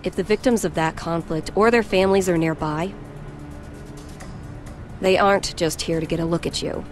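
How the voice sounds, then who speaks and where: An actress playing a serious, composed presidential aide, formal and precise, in a dubbed anime game cutscene. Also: female voice, lowish voice, normal speed, faint music behind, close to an everyday voice. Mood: grave, composed.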